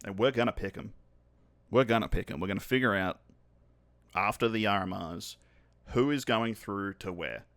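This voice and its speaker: A man's voice: on the low side, normal speed, pauses often, a bit bright, no room sound. A young Australian man co-hosting a podcast, bantering with self-mocking jokes and laughs. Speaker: podcast co-host. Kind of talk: casual chat.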